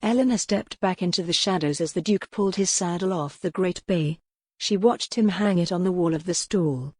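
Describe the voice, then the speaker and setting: A woman reading scripted historical romance as an audiobook narrator, measured and articulate. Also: lowish voice, darkish tone, slightly rough, no echo.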